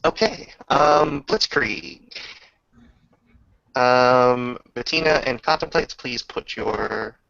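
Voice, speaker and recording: Gravelly, slow-talking man running a game. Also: medium pitch, bright, forceful tone, no room sound. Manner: halting, pausing mid-sentence. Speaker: game master. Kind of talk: narrating a tabletop roleplaying game. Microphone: online voice chat. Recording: dull lo-fi voice chat.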